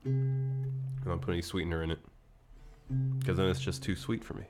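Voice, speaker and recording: A man's voice: deep voice, expressive, normal speed, loud music behind the voice. A man co-hosting a casual livestream, slightly congested with a lingering cough, reading viewer chat in an easygoing way. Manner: easygoing, reads chat aloud. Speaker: podcast co-host.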